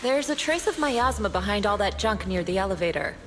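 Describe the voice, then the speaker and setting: A woman playing a calm, steadfast martial sect master in a video game, speaking with formal composure. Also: mid-range voice, a little fast, lightly acted. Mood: composed, resolute. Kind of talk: scripted video game acting. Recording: loud game music behind.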